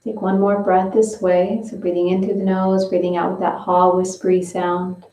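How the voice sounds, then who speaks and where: Female yoga-style instructor, soft guided cues, recorded in a roomy space a bit off-mic. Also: on the low side, flat delivery, distant mic, slight background noise. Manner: slow, gentle step-by-step instructions. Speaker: breathing and movement instructor. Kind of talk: guided breathing and stretching session.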